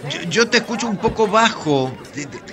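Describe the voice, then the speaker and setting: An actor playing an excitable theatre company leader in a radio drama, with an Argentine accent and a halting, stammering, emotional delivery. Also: male voice, sits high, crowd noise. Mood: flustered, delighted.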